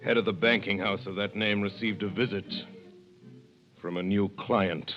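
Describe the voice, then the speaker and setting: A man narrating in a measured, commanding way in 1930s radio drama style. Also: fairly low pitch, steady tone, faint music behind. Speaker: narrator and lead actor. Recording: dull old radio recording.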